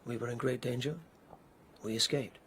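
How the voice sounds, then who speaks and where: A dutiful policeman of an old Japanese court in a radio play. His voice is husky and mid-pitched. He talks stiffly, in guarded, formal phrases. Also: fairly even intonation, normal speed, fairly bright tone. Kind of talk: radio drama acting.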